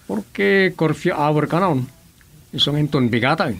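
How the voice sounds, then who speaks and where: A man's voice: a little high, lively intonation, normal speed, creaky, fairly bright tone, heavily acted. A man with an Ilocano accent playing a plain-spoken villager in a radio comedy drama, explaining matter-of-factly. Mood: reporting.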